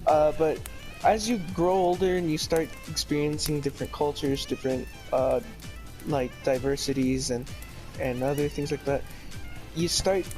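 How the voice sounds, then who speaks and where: A young man from El Paso, answering interview questions in a relaxed, rambling way. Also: fairly high pitch, poor audio. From El Paso, Texas.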